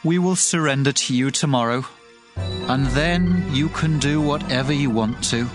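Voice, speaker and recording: A man's voice: medium pitch, moderate pace, music in the background, lightly acted. An actor playing a submissive spokesman of a besieged town in an audio Bible drama.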